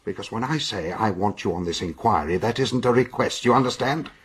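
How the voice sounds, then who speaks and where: A man's voice: on the low side, expressive, fairly quick, husky. An actor playing a stern senior police officer in a radio drama, scolding and commanding his inspector.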